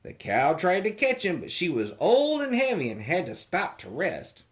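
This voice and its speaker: A children's show host reading a storybook aloud in a playful, theatrical, expressive way. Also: mid-range voice, normal speed.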